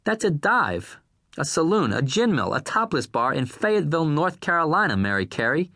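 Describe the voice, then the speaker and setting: A man's voice: on the low side, brisk, fairly bright tone, no echo, studio quality. A man voicing an irritable television producer who talks in a testy, exasperated way.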